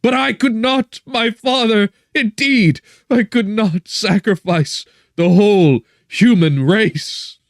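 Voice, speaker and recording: A man voicing a tormented young gentleman, despairing and declaiming in broken, impassioned phrases for an audiobook. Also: high voice, takes it slowly, creaky, hardly any pauses, no echo, studio quality, pitched above the performer's own voice, exaggerated voice. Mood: frantic anguish. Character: anguished, guilt-ridden young gentleman, frantic and despairing.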